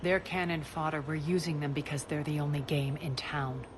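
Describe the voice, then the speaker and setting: A woman's voice: sits low, steady tone, normal speed, noisy background, lightly acted. A woman playing a ruthless, imperious alien crime queen in a video game, speaking in a haughty, sardonic, commanding way.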